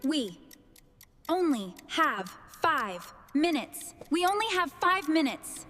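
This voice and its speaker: A woman voicing a gentle female family character in a beginner English fairy tale, enunciating carefully and deliberately. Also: high voice, very animated, soft background music, full character voice. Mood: earnest. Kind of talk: slow scripted English lesson story dialogue.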